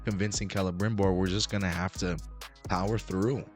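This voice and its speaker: A hoarse man hosting a casual show review, rambling with many restarts while recovering his voice. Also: low voice, steady tone, a little fast, faint music behind. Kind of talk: casual review talk to camera.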